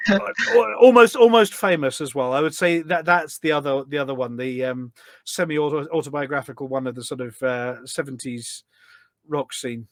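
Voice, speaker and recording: Male podcast guest, enthusiastic rambler with a fairly high voice, casual interview chat, close dry recording. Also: moderate pace, dry recording. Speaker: podcast guest, gaming community event organiser.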